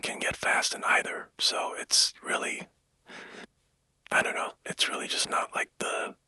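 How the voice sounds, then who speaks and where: Male whisperer for an unscripted monologue: breathy, hissy-bright, hesitant and casual, recorded very dry. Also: measured pace, no echo. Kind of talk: whispered rambling monologue, like soft-spoken ASMR.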